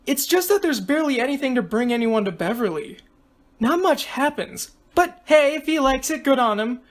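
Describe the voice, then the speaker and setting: An exuberant teenage girl from a story about a reality show, acted out by a woman reader. The voice sits high and bursts out loudly, gushing and exclaiming with excitable energy. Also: normal speed. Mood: chatty.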